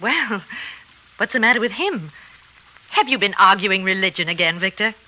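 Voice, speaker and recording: An actress playing a genteel, concerned wife in an old radio drama, solicitous in manner. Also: female voice, mid-range voice, expressive, normal speed, sharp tone, lo-fi sound. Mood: suspicious concern. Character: cheerful, concerned wife of the scientist. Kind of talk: 1950s radio drama acting.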